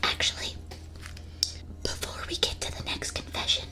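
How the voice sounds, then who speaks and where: A woman speaks in a soft whisper, right up at an ASMR microphone. She reads aloud like a streamer reacting to listeners, playful and mock-solemn in her delivery. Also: moderate pace. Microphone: close ASMR microphone.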